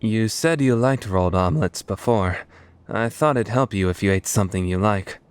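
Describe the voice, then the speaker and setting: A man playing an easygoing, oblivious and gently teasing young man in a fan-dubbed drama, speaking casually. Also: on the low side, moderate pace, clean tone, dry recording, close to an everyday voice. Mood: sheepish, kind. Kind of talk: fan dub drama acting.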